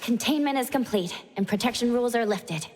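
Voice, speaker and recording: A woman's voice: a little high, a little fast, darkish tone, in a large hall, a little hiss or hum, close to an everyday voice. A woman voicing an earnest, modest young specialist in a video game, straightforward in manner. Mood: businesslike.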